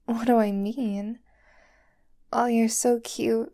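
A woman voicing a doting, possessive young girlfriend who holds her lover captive, talking softly and sweetly in a whispery voice. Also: on the high side, level tone, slow. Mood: soothing. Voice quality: soft and whispery. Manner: sweet, clingy, coaxing. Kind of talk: roleplay audio drama to the listener.

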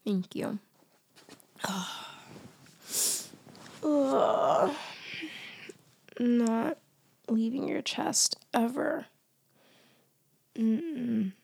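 A young woman in a soft, often whispered ASMR girlfriend roleplay, drowsy and close to the mic, her voice worn down as she loses it to a cold. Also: a little high, very slow, gravelly, pauses often, breathy edge. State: losing her voice, congested.

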